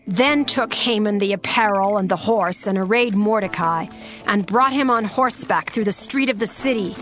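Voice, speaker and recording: A woman narrating a dramatized scripture reading in a measured, formal way. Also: mid-range voice, a bit bright, faint music behind.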